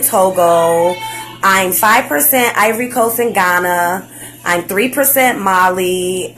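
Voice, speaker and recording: Excited young woman chatting with slang. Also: medium pitch. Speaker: vlogger. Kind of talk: casual facebook live chat.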